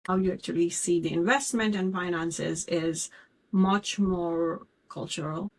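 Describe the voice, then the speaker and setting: A woman's voice: on the low side, steady tone, unhurried, darkish tone, slight background noise. Earnest woman co-host from Nepal. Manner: earnest, reflective, candid about worries. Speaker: podcast co-host. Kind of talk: casual conversational podcast.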